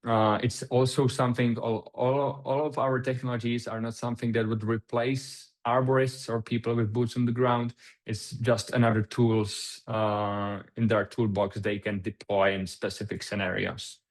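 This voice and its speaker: A man from the Czech Republic, a Czech native speaker, presents a technical webinar over a video call. He talks in a hesitant way, full of "uh"s and self-corrections, at an ordinary mid pitch for a man. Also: little pitch movement, normal speed. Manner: hesitant, many fillers and restarts.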